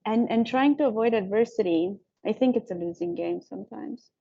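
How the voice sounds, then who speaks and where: A woman tells personal stories on a panel, animated and quick to laugh. Her voice sits dark and mellow. The event recording sounds a little dull and lo-fi. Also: mid-range voice, vocal fry. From Romania. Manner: warm, animated storytelling with frequent laughs. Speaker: company founder on a panel.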